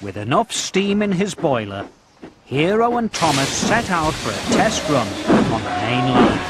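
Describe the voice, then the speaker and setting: A man narrating a children's train story and game in a clear storytelling manner, addressing young children. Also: a little high, sing-song, measured pace. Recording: loud music behind the voice. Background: music.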